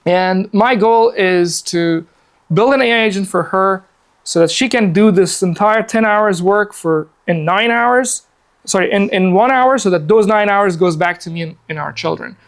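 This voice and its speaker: A man's voice: high voice, normal speed. A man in his forties from Afghanistan giving a casual live workshop presentation in a meeting room. He talks in a chatty, upbeat way with many fillers, seated at a laptop and recorded by a camera across the room.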